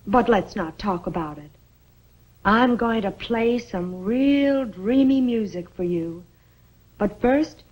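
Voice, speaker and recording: A woman playing a soothing, insidious enemy radio announcer in a war film, with a soft, falsely sympathetic voice. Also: medium pitch, poor audio. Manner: unhurried, falsely sweet.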